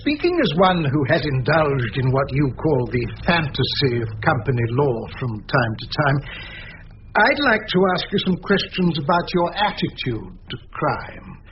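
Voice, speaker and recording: A man's voice: a little high, sing-song, normal speed, muffled recording, slight background noise. A man playing a disdainful English senior barrister in a radio drama, putting formal, sceptical, pointed questions. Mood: disdainful, probing. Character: disdainful, probing senior barrister on interview panel.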